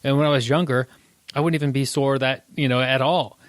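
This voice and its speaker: A man hosting a podcast interview, talking in a thoughtful, halting way with many restarts. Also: mid-range voice, steady tone, no room sound. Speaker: podcast host. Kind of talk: casual interview chat.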